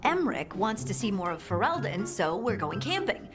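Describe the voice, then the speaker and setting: A woman playing an earnest, teasing young scout in a video game, informal and expressive. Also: mid-range voice, moderate pace, natural voice. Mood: fond, cheerful. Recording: music under the voice.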